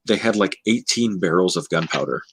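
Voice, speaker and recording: A man talking casually in a book discussion, often halting and searching for the right words. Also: sits low, animated, gravelly, fairly bright tone, slight background noise, rough recording.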